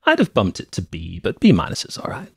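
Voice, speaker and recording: A man's voice: fairly low pitch, huge pitch swings, quick pace, vocal fry, fairly bright tone, breathy edge, dry recording. A middle-aged man co-hosting a movie podcast, chatting casually about films into a close microphone. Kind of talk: casual film review chat. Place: cluttered room at home. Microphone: close podcast microphone on a stand.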